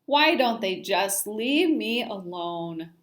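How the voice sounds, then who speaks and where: A woman's voice: mid-range voice, expressive, slow pace, fairly bright tone. A woman voicing an upset young boy who gets teased, in a plaintive, exclaiming way, for a children's story.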